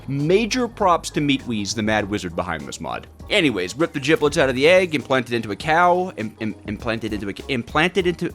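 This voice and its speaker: A man hosting a gaming video, narrating from a script with wry, jokey asides and an expressive delivery. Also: medium pitch.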